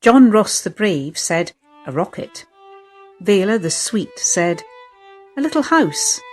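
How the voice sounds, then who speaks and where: A woman reading her own picture book aloud in a lilting storytelling manner. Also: on the low side. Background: faint fiddle music.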